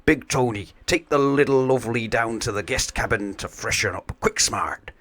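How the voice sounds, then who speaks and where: A man's voice: medium pitch, moderate pace, a bit bright, dry recording, voice pushed up, lightly acted. Man putting on a boisterous, hearty pirate captain. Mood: delighted, gallant. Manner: pirate talk with arrs and hearty exclamations.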